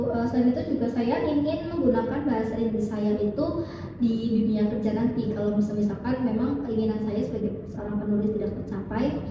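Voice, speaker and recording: A young woman student with a Javanese accent, answering interview questions hesitantly with many fillers and restarts. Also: on the high side. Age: young adult. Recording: distant echoey dull recording with noise.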